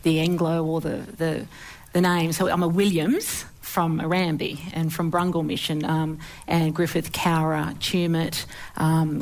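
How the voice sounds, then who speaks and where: A woman with an Australian accent talking conversationally as a panelist, reflective and thinking aloud. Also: fairly low pitch. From Sydney, Australia. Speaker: panelist, writer.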